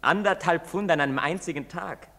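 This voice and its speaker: A man's voice: a little high, a little fast, gravelly, pushed and bright. An actor playing a proud, defiant young man, embittered and in love, with intense, declamatory stage delivery in a reverberant space. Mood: eager, secretive. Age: young adult. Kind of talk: theatrical stage acting. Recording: reverberant theatre stage recording.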